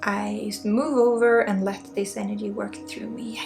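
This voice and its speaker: A woman with a Swedish accent leading an ASMR energy healing session, soft-spoken with pauses and often dropping into whispers. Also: mid-range voice, flat delivery, creaky. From Sweden. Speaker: ASMR energy healer and spiritual guide. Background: music.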